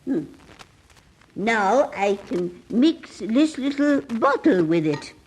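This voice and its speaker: An actress playing an ancient, eccentric aunt who brews potions, coy and gently hesitant, in an old radio comedy. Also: female voice, on the high side, very animated, slow pace, slightly rough, heavily acted, low-quality recording. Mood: secretive.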